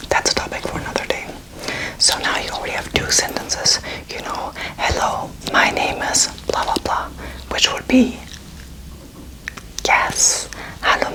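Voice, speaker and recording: A woman in her twenties giving an ASMR language lesson in a whisper, casual, playful and encouraging as she teaches. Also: low-quality recording. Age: 20s. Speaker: host teaching beginner German.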